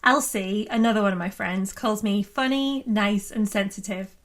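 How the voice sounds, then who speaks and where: A woman's voice: medium pitch, normal speed. A woman reading a first-person school essay aloud as a scripted narration. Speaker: reader at a book launch.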